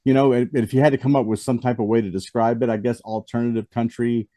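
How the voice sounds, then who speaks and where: A man's voice: medium pitch, little pitch movement, fast, dark tone, no room sound, clean studio sound. A man hosting a casual music review chat and losing his voice after the flu, rambling with frequent restarts and fillers. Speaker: host.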